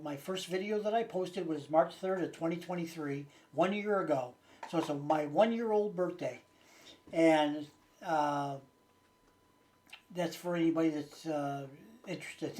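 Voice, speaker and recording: A man's voice: a little high, measured pace, darkish tone. A man in his late sixties chatting casually and unscripted to his viewers as a channel host, rambling in a folksy way with hesitant phrasing. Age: late 60s.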